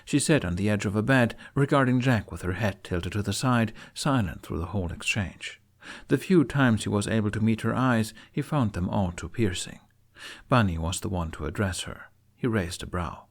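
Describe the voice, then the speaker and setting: A man narrates a fantasy tale in a low voice. He reads scripted prose as an audiobook-style storyteller. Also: lively intonation, normal speed. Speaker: narrator reading fan fiction aloud.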